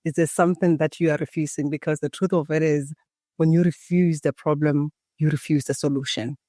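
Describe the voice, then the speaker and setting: A woman from Botswana, a Setswana native speaker, guesting on a podcast and telling her story in a calm, guiding way, as if leading a meditation. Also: deep voice, brisk, no echo, studio quality. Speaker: guest, embodiment coach. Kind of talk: podcast interview, reflective storytelling.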